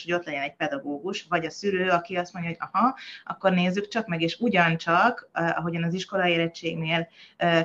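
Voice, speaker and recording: A woman child psychologist explaining in a conversational podcast, pausing often with fillers and restarts. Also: fairly low pitch, fairly bright tone, lo-fi sound. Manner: thoughtful, many hesitations and restarts.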